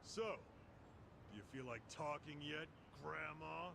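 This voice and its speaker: A man's voice: fairly high pitch, takes it slowly, vocal fry, noisy background, full character voice. An actor voicing a cocky, vicious gangster in a video game, sneering and swearing coarsely as he taunts. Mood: mocking. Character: cocky, vicious young yakuza thug, openly rebellious. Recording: game dialogue under loud music.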